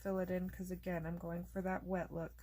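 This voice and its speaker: A woman host casually narrating a coloring tutorial, chatty and relaxed, thinking aloud as she works, and losing her voice. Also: fairly low pitch, fairly quick.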